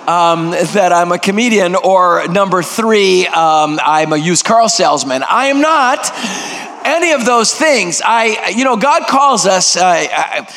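An older man preaches with a noisy, energetic delivery, cracking jokes between points. His voice sits high for a man. It rings with the long reverb of a big church hall. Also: creaky. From Greek heritage. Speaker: guest preacher. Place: large church sanctuary.

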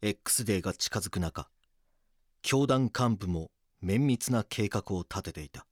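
A serious male police detective narrates and acts in an audio drama. The voice is on the low side and very dry, measured and stern, with a caring streak. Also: animated, no room sound. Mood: grave. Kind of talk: scripted documentary-drama narration and acting.

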